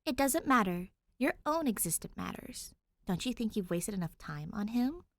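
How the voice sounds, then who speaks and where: Woman playing a feisty, high-voiced stubborn girl. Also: sing-song, normal speed, creaky, no room sound, full character voice. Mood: firm, blunt. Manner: blunt, indignant. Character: feisty, stubborn young girl clone, blunt and defiant.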